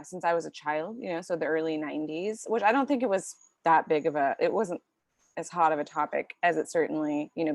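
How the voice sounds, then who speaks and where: A woman, telling personal stories casually as an interview guest, chatty and quick to giggle. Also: lowish voice, no room sound. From British Columbia, Canada. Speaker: interview guest, surf camp co-founder. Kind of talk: casual chat, personal storytelling.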